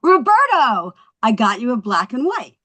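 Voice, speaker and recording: An actress playing a brash, quick-witted young wife in a scripted comedy table read. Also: female voice, on the high side, big pitch swings, pushed and bright, full character voice. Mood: cheerful.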